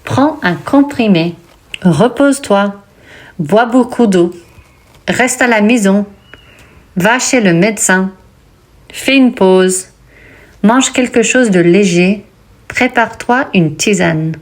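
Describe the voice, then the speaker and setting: A woman who teaches French and wrote a textbook, reading vocabulary and example sentences slowly for a pronunciation lesson. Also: mid-range voice. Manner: slow, deliberate word-by-word reading.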